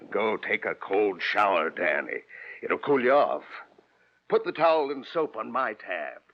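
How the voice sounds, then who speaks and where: A man's voice: fairly low pitch, big pitch swings, slow, full character voice. An actor playing a surly, cynical tough man with a sneering, dismissive manner in a 1940s radio crime drama. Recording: dull vintage radio recording.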